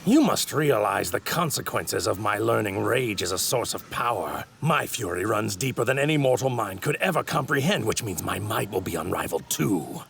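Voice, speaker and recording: An actor playing an arrogant, wrathful god in a stolen warrior's body, with haughty, theatrical, grandiose delivery in an anime dub. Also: male voice, lowish voice, expressive, normal speed, few pauses. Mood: cold wrath. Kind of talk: anime dub villain acting. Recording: clearly noisy audio ripped from anime.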